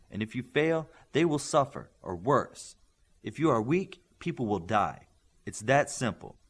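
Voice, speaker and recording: Man acting a stern superhero coach, very animated pitch. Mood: grave, commanding. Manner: blunt, authoritative, commanding. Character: stern, demanding male superhero coach lecturing his young trainees. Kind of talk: audiobook character dialogue.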